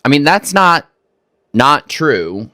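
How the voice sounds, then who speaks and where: A man's voice: a little high, very animated, slow pace, pushed and bright, dry recording. A man from Texas hosting a reaction video, making wry, skeptical remarks that stumble over restarts. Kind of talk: casual commentary on a clip.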